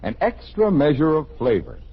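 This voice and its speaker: An American man reading a scripted sponsor pitch in an emphatic vintage radio announcing style. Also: huge pitch swings, moderate pace, slightly rough. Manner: emphatic sales delivery. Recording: muffled old radio broadcast.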